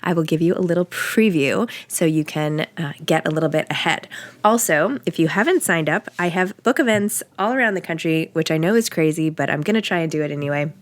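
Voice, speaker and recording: American woman, author, low pitch, dry sound, casual talk, first-person reading. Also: fairly quick, no room sound. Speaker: author and podcast host.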